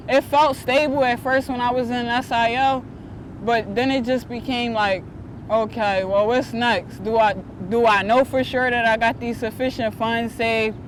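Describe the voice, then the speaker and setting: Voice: mid-range voice, steady tone, a little slow, a little hiss or hum, low-quality recording. A young adult in their early twenties speaking casually in a documentary interview, with slang and repeated words. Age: early 20s. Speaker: former foster youth interviewee.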